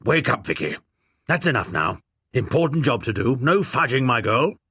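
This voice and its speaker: A man's voice: medium pitch, sing-song, normal speed, a bit bright, husky, no room sound, studio quality. A man reading aloud voices a blustering, bullying old English lord who barks commands and takes offense. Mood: commanding. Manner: imperious, indignant, commanding.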